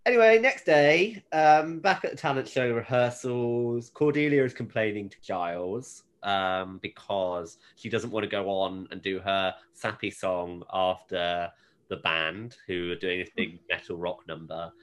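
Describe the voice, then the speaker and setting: A podcast host recapping a TV episode in casual, jokey chat, retelling scenes in an animated way. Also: low voice, a little slow.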